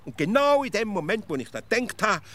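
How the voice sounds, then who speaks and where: An actor playing a stubborn, flustered provincial simpleton in a comic radio play, stammering and halting with a Swiss accent. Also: male voice, high voice, sing-song, fairly bright tone. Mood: earnest explaining. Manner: stammering, halting, indignantly self-justifying.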